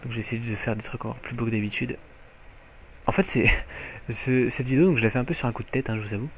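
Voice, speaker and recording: A young man with a French accent giving live commentary on his own online match from home, excitable and jokey, swearing often. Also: medium pitch, fast, a little hiss or hum. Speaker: gaming commentator. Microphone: headset mic.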